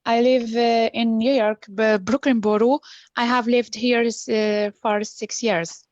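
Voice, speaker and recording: Woman from Algeria, slow and halting, higher voice, dull lo-fi call audio. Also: little pitch movement, a little mellow, no room sound. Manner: halting, hesitant phrasing. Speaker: guest student.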